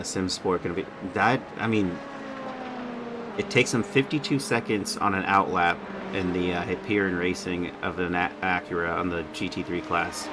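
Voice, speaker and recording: Low-voiced man commentating over loud noise. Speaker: race commentator. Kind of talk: casual sim racing commentary.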